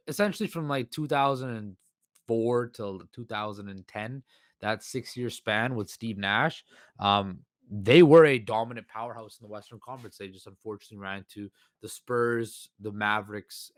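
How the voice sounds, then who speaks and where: Male podcast host, hoarse, low-pitched, dry close recording, loose rambling delivery. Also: normal speed, fairly bright tone, no room sound. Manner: rambling, many fillers and restarts. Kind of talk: solo sports podcast commentary.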